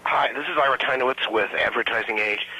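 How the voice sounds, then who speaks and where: A man's voice: mid-range voice, fairly quick, bright, forceful tone, slightly rough, low-quality recording. A man, a magazine reporter, asking questions on a press conference call over the telephone.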